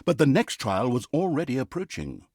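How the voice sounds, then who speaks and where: A man's voice: mid-range voice, huge pitch swings, normal speed, a little mellow, breathy edge, no echo, clean studio sound. A man playing a wry, pompous storyteller narrator in a fantasy game, with grandiose, sarcastic delivery. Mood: sly, smug. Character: wry, pompous male storyteller narrator mocking villains and heroes. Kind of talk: theatrical comic storybook narration.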